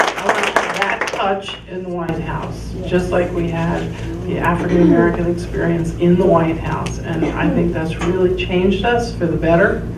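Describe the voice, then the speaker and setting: A woman's voice: on the low side, steady tone, moderate pace. Woman in her sixties, soldier's mother speaking from the floor; emotional, earnest; distant pickup in a noisy, reverberant hall. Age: 60s. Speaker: audience member, mother of a soldier. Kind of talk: unscripted remarks at a town hall. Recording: echoey room, distant mic, noisy.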